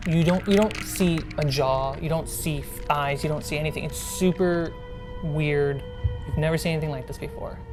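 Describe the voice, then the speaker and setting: A woman game master narrating a tabletop horror game in a descriptive, casual way with pauses. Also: low-pitched. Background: music.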